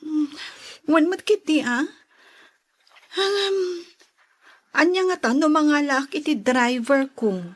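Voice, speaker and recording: A woman's voice: sits high, slow pace, long pauses. A woman with an Ilocano Filipino accent playing a pampered, coy married madam in an audio drama. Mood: coy, breezy. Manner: coy, breezy. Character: pampered, flirtatious married madam, breezy and self-indulgent.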